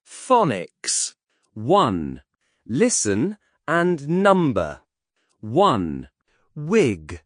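Woman reading out textbook instructions, super slow, pitch moves a lot, dry studio sound. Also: huge pitch swings, dry recording. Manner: slow, clearly enunciated announcing. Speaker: textbook audio narrator. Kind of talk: scripted instructional narration.

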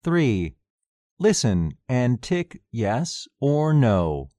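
A man reading section titles and repeating test sentences for a recorded English lesson, slow and deliberate. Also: mid-range voice, sing-song, mellow, no echo. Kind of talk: scripted listening exercise.

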